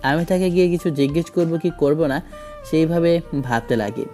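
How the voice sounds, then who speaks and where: A man's voice: fairly high pitch, moderate pace, mellow, soft background music. A man narrating a first-person romantic story in a dramatic scripted style.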